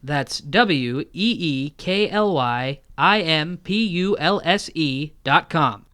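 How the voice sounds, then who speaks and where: A man speaking as an interview guest in light banter, with a deadpan manner. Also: fairly high pitch, slow, clean tone, no echo, studio quality. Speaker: interview guest, audio drama producer.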